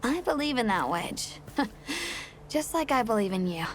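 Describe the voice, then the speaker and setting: A folksy, upbeat smith. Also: female voice, on the high side, sing-song, measured pace, slight background noise. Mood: encouraging. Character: hearty young woman smith, stubborn and encouraging. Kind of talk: video game cutscene acting. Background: game score music.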